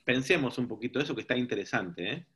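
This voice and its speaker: A man's voice: mid-range voice, lively intonation, fast, fairly bright tone. A man giving an informal teaching talk to a group, reflective and often checking in with rhetorical questions. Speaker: seminar teacher.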